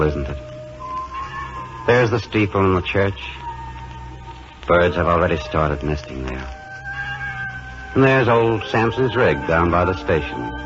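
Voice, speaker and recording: An actor playing a gentle, devout small-town pastor in an earnest, measured 1940s radio drama style. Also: male voice, deep voice. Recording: dull vintage radio recording with music.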